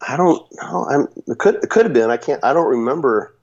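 A man with a cold and a raspy voice, talking casually on a podcast with many restarts and fillers. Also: mid-range voice, lively intonation, brisk, a little mellow, lo-fi sound. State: sick with a runny nose.

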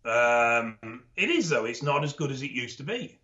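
A man co-hosting a podcast, explaining things in a knowledgeable, measured way with dry humour and frequent ums. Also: medium pitch, very animated, a loud crowd. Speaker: expert co-host. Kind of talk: casual podcast chat, explaining. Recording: dull lo-fi audio.

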